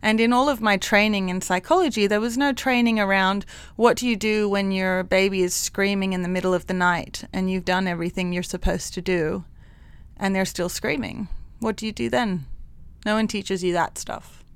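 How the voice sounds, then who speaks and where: A woman's voice: medium pitch, fairly even intonation, normal speed, clean tone, no echo. A woman psychologist with a mixed international accent, talking frankly and laughing often in an interview. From Hong Kong. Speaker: clinical psychologist guest. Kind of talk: interview conversation.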